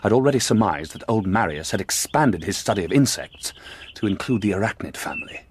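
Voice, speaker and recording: A man's voice: on the low side, wildly swooping pitch, brisk, slightly rough, exaggerated voice. A man acting a shrewd, self-assured detective in an audio drama, telling his explanations with theatrical relish. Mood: suspenseful.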